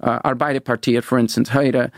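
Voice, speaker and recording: A man's voice: medium pitch, flat delivery, fairly quick, no echo, studio quality. A middle-aged man from Venezuela answering questions in a political interview, earnest and often repeating words as he starts a sentence. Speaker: interview guest.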